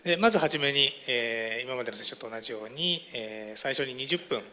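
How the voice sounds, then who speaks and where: A man's voice: mid-range voice, lively intonation, rapid-fire, sharp tone. A man moderating a conference session, giving a formal introduction with frequent hesitations and fillers.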